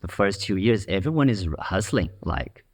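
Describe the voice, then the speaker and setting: A man's voice: low-pitched, expressive, moderate pace. A man from Brazil with a Brazilian Portuguese accent, a business owner telling his story matter-of-factly as an interview guest. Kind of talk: interview conversation.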